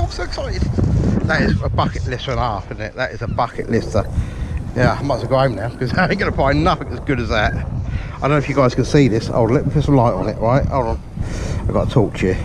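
Man, excitable beach commentary, body cam, steady outdoor noise behind, average pitch. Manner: excitable, swears when thrilled, chatty asides to viewers. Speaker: metal detecting vlogger. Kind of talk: excited running commentary while detecting. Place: outdoors on a pebble and sand beach at dusk. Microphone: body-worn action camera. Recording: outdoor beach recording with clear background noise.

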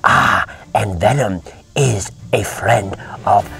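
A man's voice: on the low side, slow, vocal fry, pushed and bright, heavily acted. A man doing a sly whispery Venom. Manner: hissing half-whispers, oily friendly tone. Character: sly scheming male alien symbiote. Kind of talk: toy play voice acting.

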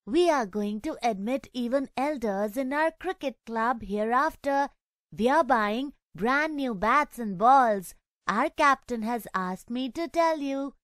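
A man's voice: very high-pitched, expressive, slow, fairly bright tone, dry recording, studio quality, voice pushed up, full character voice. A man voicing a frightened young schoolboy who pleads anxiously with his father. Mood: eager.

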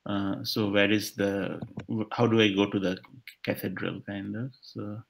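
A man studying a language over a video call, his voice low with a gravelly edge. He hesitates often, filling pauses while he works things out. His tone is husky. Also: little pitch movement. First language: not English. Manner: hesitant, thinks aloud with many fillers. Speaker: language student. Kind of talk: online lesson, working through exercises.

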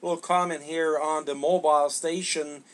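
A middle-aged man making casual ham radio contact, halting and repeating words as he goes. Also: a little high, level tone. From Canada. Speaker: amateur radio operator. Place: small home radio room, indoors.

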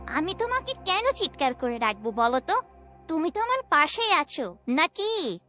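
A woman voicing a cheeky, lively young daughter in a cartoon, with a teasing, sassy manner. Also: very high voice, full character voice. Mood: mildly scolding. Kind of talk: animated Bengali cartoon voice acting.

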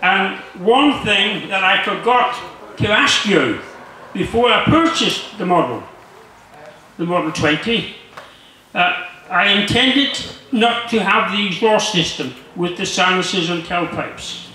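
A man in his sixties reads aloud to an audience in a jovial, halting way, adding joking asides. His voice is high and very bright and forceful. It comes through a PA with heavy echo. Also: expressive, slight background noise. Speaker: guest reader. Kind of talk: humorous letter reading with asides to an audience. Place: large marquee tent with seated audience. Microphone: handheld mic through speakers, filmed from audience. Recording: very echoey PA in tent.

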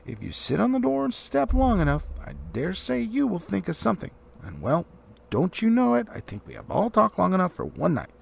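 A man's voice: high-pitched, wildly swooping pitch, fairly quick, creaky, breathy edge, pitched above the performer's own voice, full character voice. A man voicing a flustered, polite little male hobbit from a storybook, hesitant yet eager and rather prim. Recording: faint background noise.

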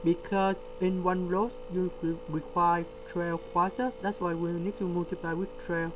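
A man with a delivery, explaining a word problem step by step in a screen-recorded lesson. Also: sits high. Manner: slow, step-by-step explaining with pauses. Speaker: tutor. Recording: steady electrical hum behind.

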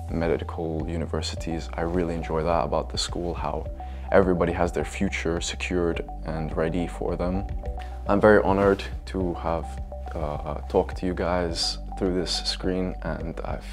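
A teenage boy, a student, speaking in a halting way with many fillers on a lapel mic. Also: deep voice. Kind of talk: prepared statement.

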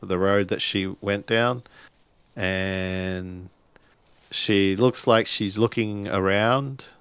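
A man narrates a tabletop role-playing story in a low voice. He goes slowly and haltingly, stopping mid-sentence as he makes it up, and the sound is dry. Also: fairly even intonation, a bit bright, clean tone, no echo. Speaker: tabletop role-play game storyteller or player. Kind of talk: improvised game narration.

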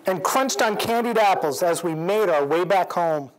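A man in his forties reading a picture book aloud to children in an expressive, measured storytelling way. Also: high-pitched, poor audio. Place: indoors, library event room. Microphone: lapel. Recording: clear background noise in room.

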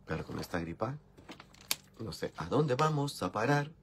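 A man's voice: on the low side, big pitch swings, normal speed, a little hiss or hum. A man in his forties with a Mexican accent and a cold, chatting casually and swearing freely as he greets viewers while doing makeup at home on a phone camera. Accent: Mexican Spanish. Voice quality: gravelly. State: has a cold. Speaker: makeup vlogger. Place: indoor home makeup room.

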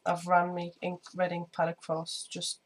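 Woman, a bit croaky, lowish even voice, chatty crafting tutorial at home, faint hiss behind. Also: moderate pace. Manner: chatty, many ums, hands busy while talking. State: slightly croaky voice. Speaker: scrapbooking vlogger. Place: indoor home craft table. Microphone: overhead camera on desk, speaker off screen.

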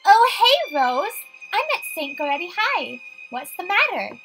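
A woman's voice: very high-pitched, big pitch swings, a little slow, fairly bright tone, soft background music, voice pushed up, exaggerated voice, rough recording. A woman voicing a superstitious young female realtor, an earnest, slightly defensive visual novel heroine. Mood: surprised.

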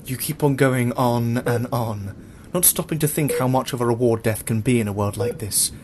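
A man's voice: mid-range voice, moderate pace, noisy background, close to an everyday voice. An actor in an audio drama playing a frightened but defiant captive man, earnest and distressed.

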